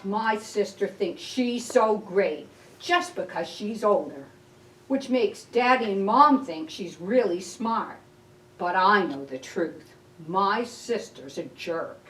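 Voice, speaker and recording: A woman voicing an aggrieved, boastful six-year-old boy in a picture book, complaining in a whiny, defiant, childish way. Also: medium pitch. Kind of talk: picture-book read-aloud. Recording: roomy echo, distant mic.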